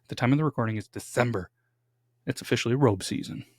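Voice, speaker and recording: A man's voice: medium pitch, fast, a little mellow, no echo, studio quality. Chatty man hosting a crime podcast. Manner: chatty, jokey, dramatic build-ups. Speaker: podcast co-host. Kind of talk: casual true-crime podcast chat. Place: home studio.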